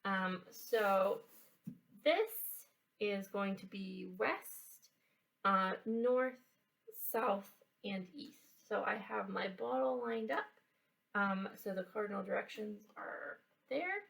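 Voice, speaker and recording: A woman giving a casual how-to science and craft demonstration for children, explaining in a halting way and checking in as she goes. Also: medium pitch, expressive, vocal fry, fairly bright tone, recorded from a distance, rough recording. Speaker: library children's program presenter. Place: indoors, in a library building.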